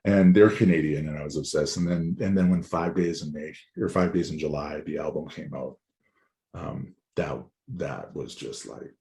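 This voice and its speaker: Man, forties, raspy, hoarse, very deep, gravelly, loose rambling chat. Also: a little mellow. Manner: rambling, loose, swears casually. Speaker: musician guest. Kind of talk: casual chat.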